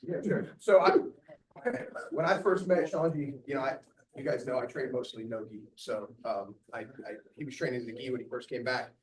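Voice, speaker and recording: A man's voice: mid-range voice, normal speed. A man telling a story about a training partner in a chatty way, speaking to his gym. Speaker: gym member. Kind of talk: casual speech to the gym. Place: martial arts gym. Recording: echoey gym from far off.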